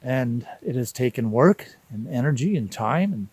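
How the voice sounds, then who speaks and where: A man, speaking a reflective personal monologue in an unhurried, contemplative way with deliberate pauses. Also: medium pitch, animated, darkish tone, slightly rough, no echo. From Canada. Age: 60s. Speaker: vlog host.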